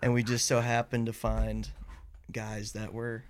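A man in his early twenties, a band's singer, telling a story casually as a podcast guest, relaxed with lots of "like"s. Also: mid-range voice, measured pace. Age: 20s. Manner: relaxed, filler-heavy, many "like"s.

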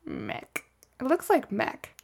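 A woman with a slightly high voice and a noticeable vocal fry. She tells true crime casually from notes as a podcast host. Her delivery is chatty, with wry asides dropped in. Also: wildly swooping pitch, takes it slowly.

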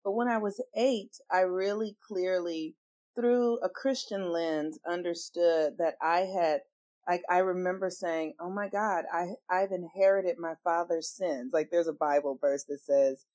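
An earnest woman telling her personal story as a guest in an interview, stressing her points with swings in loudness. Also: on the low side, steady tone, a little slow, no echo. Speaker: astrologer guest.

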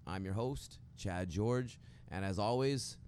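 Low-voiced male podcast host, noisy background. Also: takes it slowly, darkish tone. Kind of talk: casual podcast interview. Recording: clear background noise.

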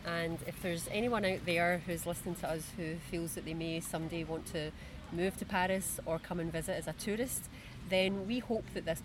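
A woman in her forties speaks in a measured, friendly way as a podcast co-host, her voice on the lower side. She is outdoors in a park, with passing traffic clearly heard behind her. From Scotland. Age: 40s. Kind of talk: casual chat. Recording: outdoor recording with traffic noise.

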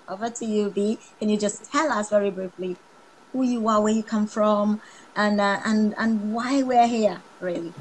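A woman's voice: medium pitch, slight background noise, low-quality recording. A woman hosting a live online interview, effusive and full of praise for her guest. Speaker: host.

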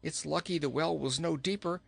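High-pitched, jolly plump king. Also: brisk, gravelly, breathy edge, soft background music, heavily acted. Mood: rueful, talkative. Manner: theatrical, exclamatory, chuckling at own jokes. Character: plump, jolly, pun-loving old king.

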